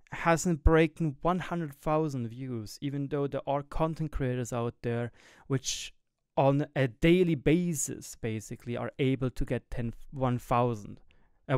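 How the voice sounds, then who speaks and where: A man's voice: medium pitch, a little mellow, clear, resonant tone, no room sound, clean studio sound. Swiss man with a lilting, rising-falling delivery. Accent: Swiss German. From Switzerland. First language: German. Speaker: gaming YouTuber and streamer. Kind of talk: reflective personal monologue to camera. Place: home gaming room, indoors, small. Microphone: studio mic on boom arm close to mouth, wearing headset.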